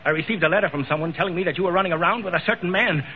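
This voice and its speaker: An actor playing a suspicious, arrogant wealthy husband in theatrical, accusing old-time radio drama style. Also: male voice, high-pitched, very fast, natural voice. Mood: accusing, indignant. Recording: dull, hissy old radio recording.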